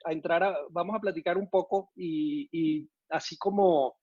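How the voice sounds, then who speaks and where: A man's voice: on the high side, fairly even intonation, moderate pace, slightly rough, dry recording, phone-quality sound. Hesitant Venezuelan man on a call. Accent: Venezuelan Spanish with some Mexican usage. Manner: hesitant, many fillers and restarts. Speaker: conference speaker. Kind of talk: online conference talk. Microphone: video call.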